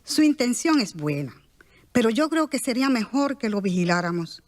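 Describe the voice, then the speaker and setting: Scheming gossip, radio drama; raised pitch, conspiratorial, faint music behind. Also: female voice, very animated, moderate pace, darkish tone. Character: gossiping scheming townswoman, sly and suspicious.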